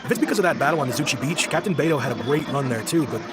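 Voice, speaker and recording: An actor voicing a talkative, easygoing sailor in a video game, speaking casually. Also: male voice, medium pitch, lively intonation, racing, heavy background noise, natural voice. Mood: casual, bemused. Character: chatty, easygoing male ship's sailor. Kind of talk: English video game voice acting.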